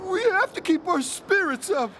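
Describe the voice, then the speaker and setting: A woman's voice: very high-pitched, animated, moderate pace, noisy background. A woman voicing a folksy, sociable ghost who steadies worried companions in an audio drama. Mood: folksy, lively. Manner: folksy, colloquial, reassuring. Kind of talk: theatrical audio drama acting.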